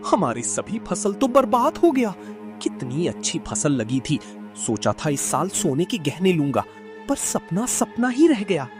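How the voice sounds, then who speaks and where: A woman voicing a simple, excitable farmer man in a cartoon moral story, speaking in dramatic exclamations. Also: lowish voice, a little slow, music in the background. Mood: distraught.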